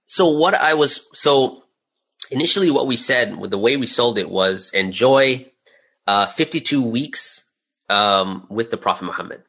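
A man's voice: medium pitch, expressive, unhurried, a bit bright, slightly rough. A man speaking as an interview guest, telling anecdotes in a casual, conversational and candid way about his own work. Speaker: interview guest, audio drama creator.

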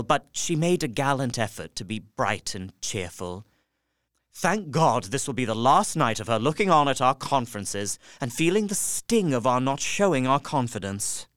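A man's voice: mid-range voice, a bit bright, smooth, dry recording, natural voice. Man playing an earnest young diarist, dry sound. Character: earnest young English solicitor, devoted husband, writing journal. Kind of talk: dramatized diary narration.

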